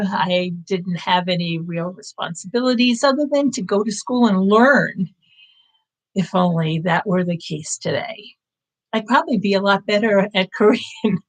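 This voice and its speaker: A woman's voice: medium pitch, rough recording. A woman of fifty-nine hosting her own channel, giving a casual live-stream update in an earnest, reflective and encouraging way. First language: English. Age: 50s. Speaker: language-learning channel host. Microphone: live-stream setup.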